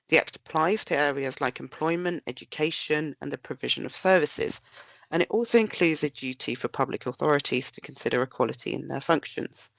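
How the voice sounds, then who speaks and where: A woman's voice: deep voice, a bit bright, no room sound, rough recording. A woman with a nasal voice, bunged up with a cold, giving a prepared webinar presentation. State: blocked with a cold. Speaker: research director presenting.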